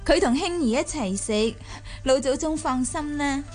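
An actress playing a sharp-witted young mistress of a noble house in a radio drama, playful and glib. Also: female voice, high voice, expressive, unhurried, loud music behind the voice. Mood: smooth, obliging. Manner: playful, glib, mock-solicitous.

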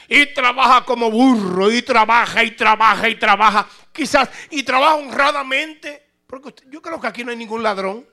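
Male pastor, high voice, very bright and piercing, preaching with sudden shouted peaks. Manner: swings from conversational to shouted preaching. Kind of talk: sermon.

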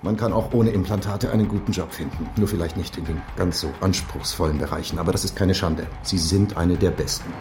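Deep-voiced, placating male boss. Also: fairly quick, hardly any pauses, over music. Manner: soothing, formal. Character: measured, placating male boss letting an employee down gently. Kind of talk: naturalistic radio drama acting.

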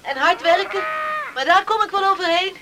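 A woman's voice: extremely high pitch, normal speed, noisy background. A woman acting a polite, tired young farm girl in a radio play, brushing off concern. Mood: polite, weary. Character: polite, tired farm girl downplaying her hard work.

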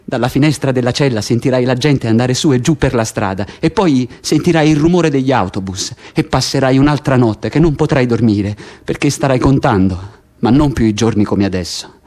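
A man acting as taunting prisoner, radio drama, middle-pitched, insistent, bitter, step-by-step delivery. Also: fairly quick, a little mellow, close to an everyday voice. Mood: insistent, building. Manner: insistent, building lists step by step. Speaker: stage actor in a radio play. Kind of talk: Italian radio theatre monologue and dialogue.

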